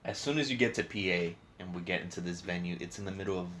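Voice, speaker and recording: Man, lower pitch, casual sweary podcast banter, noticeable noise behind the voice. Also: fast, a bit bright. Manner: profane, slangy, informal talk. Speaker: podcast host. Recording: clear background noise.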